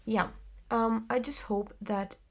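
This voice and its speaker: Young woman, twenties, Spanish accent, slow and halting with plenty of fillers, a gravelly fry in the voice; casual vlog delivery. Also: fairly high pitch, fairly even intonation. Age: 20s. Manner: hesitant, many fillers and restarts, searching for words. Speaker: vlogger and theater actress. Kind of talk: casual talking-to-camera vlog.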